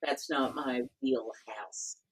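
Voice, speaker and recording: A woman in her fifties talks with a low, somewhat husky voice. She is a professor and company founder, and she tells stories in a lively, animated way during a podcast interview. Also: takes it slowly.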